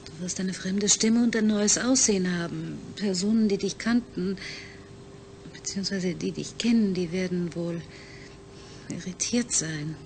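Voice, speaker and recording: An actress playing a practical colleague on familiar terms in a German radio play. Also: female voice, medium pitch, measured pace, darkish tone, a little hiss or hum, close to an everyday voice.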